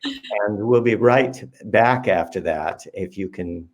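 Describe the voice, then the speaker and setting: A man hosting a casual talk show, introducing things in halting phrases. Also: on the low side, very noisy. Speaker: host introducing a comedy clip.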